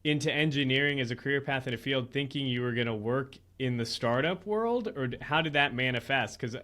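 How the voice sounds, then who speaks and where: A male podcast host asks loose, winding questions in an easy, casual way. He leans on filler words as his sentences drift along. His voice is middling in pitch. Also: fairly quick, a bit bright. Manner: casual and rambling, with many "like"s and "kinda"s. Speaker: podcast host, go-to-market consultant.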